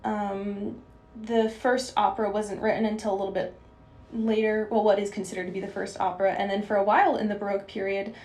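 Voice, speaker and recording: A woman's voice: medium pitch, little pitch movement, a little fast, a little mellow, roomy sound. Young woman explaining things on air. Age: young adult. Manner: explanatory, with fillers like "you know". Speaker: guest opera singer. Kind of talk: interview answers.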